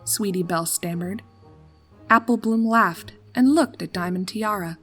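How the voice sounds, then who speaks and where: A woman's voice: mid-range voice, a little slow, fairly bright tone, husky. A woman reading a scripted story as narrator in a dramatic storytelling style. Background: background music.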